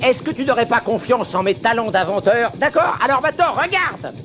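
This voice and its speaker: High-pitched, excitable old inventor. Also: male voice, brisk, very noisy. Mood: proud. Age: older. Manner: theatrical, exhorting, fussy. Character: excitable grumpy old inventor with grand schemes. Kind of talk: French-dubbed cartoon acting.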